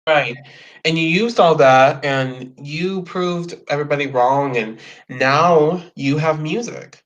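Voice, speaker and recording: A man's voice: a little high, slow pace. A man hosting a casual podcast interview, rambling and swearing, often slipping into playful quoted voices. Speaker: podcast host. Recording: dull lo-fi sound.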